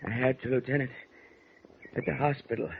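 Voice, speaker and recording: An actor playing a dying, injured murderer haltingly confessing in a 1940s radio drama. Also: male voice, mid-range voice, fairly even intonation, gravelly, natural voice. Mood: weak, desperate. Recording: noisy, dull 1940s radio recording.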